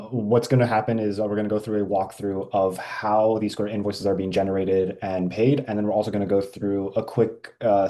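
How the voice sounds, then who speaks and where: A man walking through a software feature in a casual screen-share demo, with frequent ums and uhs. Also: fairly low pitch.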